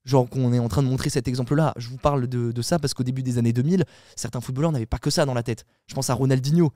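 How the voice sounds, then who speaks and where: Fast-talking man, dark dry voice. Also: mid-range voice, no room sound. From Italian heritage. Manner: animated storytelling with many restarts and fillers. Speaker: podcast panelist. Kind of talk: casual podcast chat.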